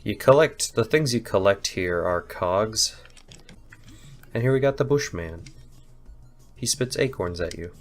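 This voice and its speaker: A young man with a cold, sounding nasal and a little hoarse, rambling casually through a voice-over of his own game with self-deprecating asides. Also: on the low side, animated, measured pace, pauses often, soft background music. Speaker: indie game developer. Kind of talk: casual gameplay voice-over commentary.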